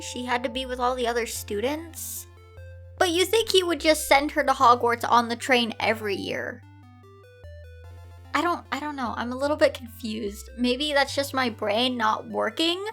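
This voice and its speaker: A woman reading a story aloud informally, breaking off for animated, exasperated side comments. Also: sits high. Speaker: video host reading fan fiction aloud.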